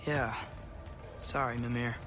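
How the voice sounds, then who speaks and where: An actor playing a curious, earnest teenage adventurer in a video game, talking casually and asking questions. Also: male voice, mid-range voice, flat delivery, takes it slowly, loud music behind the voice, lightly acted. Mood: explaining. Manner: inquisitive, casual, youthful.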